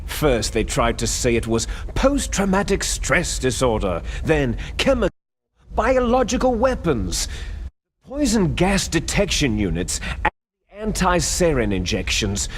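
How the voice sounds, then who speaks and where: A scheming male villain from a video game cutscene, drawing out his words in a slow, menacing lecture. His voice sits fairly high and swings widely in pitch. Music runs clearly behind him. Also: very animated, a little hiss or hum. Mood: lecturing.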